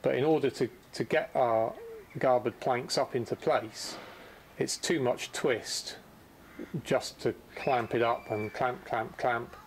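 A middle-aged man calmly explaining how to steam wooden planks as he works in a boatbuilding workshop. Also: mid-range voice, slow pace, slight background noise. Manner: easygoing, practical explaining with restarts. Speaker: boatbuilding instructor. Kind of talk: hands-on workshop demonstration.

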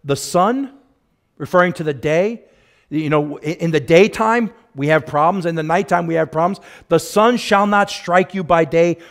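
Higher-voiced man preaching with emphasis. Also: moderate pace. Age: middle-aged. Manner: emphatic, swinging between hushed and raised delivery, repeating phrases for weight. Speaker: pastor preaching. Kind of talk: sermon with scripture exposition. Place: church sanctuary, at a pulpit.

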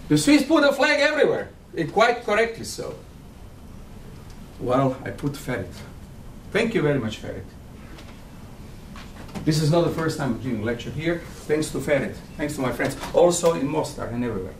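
A man's voice: fairly high pitch, big pitch swings, normal speed, long pauses, slight background noise. A man in his sixties from Croatia, speaking English with a Croatian accent, giving an emphatic, provocative keynote lecture and moving about the stage. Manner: emphatic, provocative, pacing and gesturing. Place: large conference hall. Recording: echoey hall from far off.

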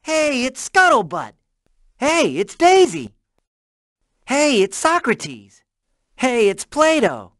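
A man's voice: extremely high pitch, huge pitch swings, slow, pauses often, fairly bright tone, no room sound, clean studio sound, full character voice. A man voicing a cheerful, joking young male dragon hero in a cartoon video game. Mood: upbeat. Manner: breezy, joking.

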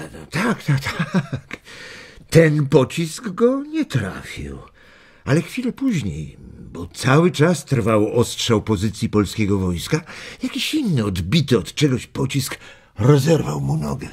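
An actor playing a gentle elderly grandfather telling stories slowly and hesitantly in a children's radio play. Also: medium pitch, wildly swooping pitch, creaky, slightly rough, heavily acted. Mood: storytelling, tender.

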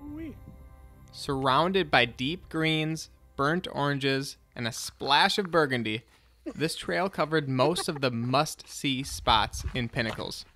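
A man's voice: medium pitch, animated, slow, bright, forceful tone, faint music behind. A man in his twenties, a travel vlogger narrating and chatting casually about a hike. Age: 20s. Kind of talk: hiking vlog narration and casual chat.

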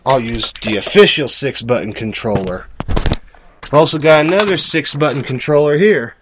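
A man's voice: medium pitch, animated, moderate pace. Guy rambling thru a game gadget demo at home, blunt n sweary, noisy camera mic. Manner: rambling, blunt, foul-mouthed. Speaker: video host. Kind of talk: casual game hardware demo. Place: cluttered room at home. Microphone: camera microphone at varying distance.

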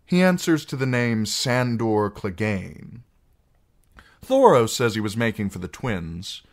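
A man's voice: fairly low pitch, sing-song, slow pace, pitched above the performer's own voice. A man voicing a wry outlaw singer in an audiobook, teasing and falsely kind, with a lilting delivery. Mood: coolly probing. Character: wry, mocking outlaw singer, smoothly cruel adult man. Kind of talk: audiobook character acting.

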